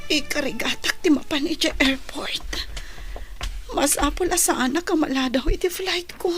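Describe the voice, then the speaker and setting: Woman acting high-pitched, plaintive young Filipino daughter. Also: moderate pace, fairly bright tone, soft background music. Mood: weary, pained. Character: distressed, ailing young woman, a daughter abroad. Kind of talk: Ilocano radio drama acting.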